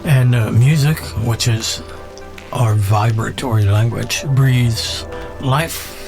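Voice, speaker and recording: Man, podcast host, reflective monologue, halting delivery, musing, repeats key words, clear music bed underneath. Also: mid-range voice.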